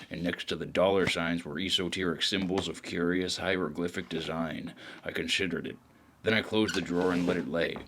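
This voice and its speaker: An actor playing a weary, brooding hard-boiled detective, narrating a scripted noir audio drama in a deliberate, gravelly voice. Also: male voice, low-pitched, background noise.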